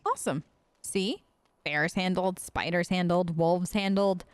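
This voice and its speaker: A woman's voice: on the low side, measured pace, slight background noise. A woman hosting a let's play, giving casual, chatty role-play commentary and musing in character.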